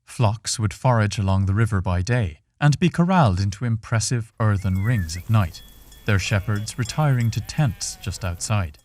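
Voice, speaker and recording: A man narrating a scripted fiction story in a measured, solemn and dramatic way. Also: on the low side, animated, darkish tone, no echo. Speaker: narrator reading a fiction podcast.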